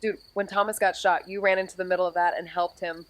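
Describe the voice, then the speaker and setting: A woman's voice: on the low side, little pitch movement, fast talker, lightly acted. An actress playing an earnest, goofy young woman detective in a naturalistic audio drama, with casual, slangy, playful delivery. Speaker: lead actor in an audio drama. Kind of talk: naturalistic podcast drama acting.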